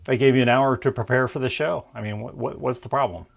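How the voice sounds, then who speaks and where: A man's voice: medium pitch, fairly even intonation, fast talker, creaky. A man hosting a podcast, joking and laughing in casual chat. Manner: jokey, laughs often. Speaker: podcast host.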